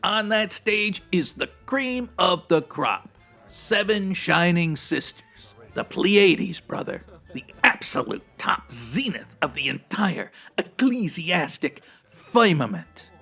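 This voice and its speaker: A breezy hustler who manages a strip club in a radio detective comedy. His voice is high for a man and gravelly, swooping about as he rolls out hipster slang and florid big words. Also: big pitch swings, slow, sharp tone, faint music behind, exaggerated voice. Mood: admiring, breezy. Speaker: actor in a comedy audio drama. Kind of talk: comedic old-time radio detective acting.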